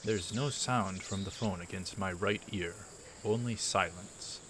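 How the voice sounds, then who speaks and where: Man, very low voice, let's play narrator, halting asides, measured reading, noisy hissy home recording. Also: a bit bright. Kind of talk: reading a visual novel aloud with casual asides.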